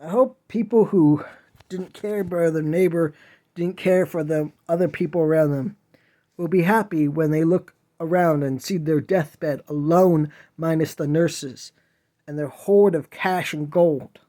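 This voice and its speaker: Slow, whiny-voiced man preaching earnestly. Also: on the high side, fairly even intonation, dark tone. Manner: earnest, preachy, pleading with listeners. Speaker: podcast host. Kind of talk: impassioned solo monologue.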